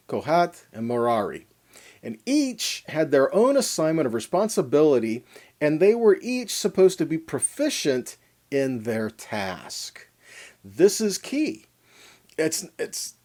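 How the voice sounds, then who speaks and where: A man's voice: on the high side, dark tone. A man giving a Bible teaching, explaining in a didactic way, posing questions and answering them himself. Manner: didactic, asks and answers own questions, checks in with "okay". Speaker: teacher of a weekly Torah portion.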